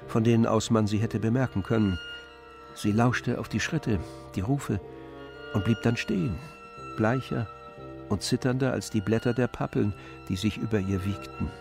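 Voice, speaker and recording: A man playing the composed, observant narrator of a literary radio drama, with measured delivery. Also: mid-range voice, darkish tone, close to an everyday voice.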